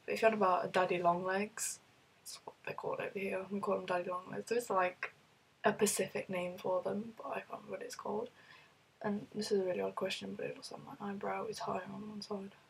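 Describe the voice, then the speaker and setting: A British woman talks casually to her camera, rambling and restarting with plenty of fillers. Her voice sounds rough from being ill, very husky with a gravelly edge, and her pitch sits in an ordinary middle range. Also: little pitch movement, quick pace, low-quality recording. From United Kingdom. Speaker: vlogger. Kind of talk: casual chat answering a question list.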